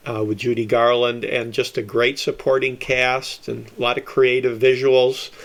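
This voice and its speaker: A man presenting an informal history talk in a conversational way, with folksy asides. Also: mid-range voice. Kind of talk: informal history lecture with slides.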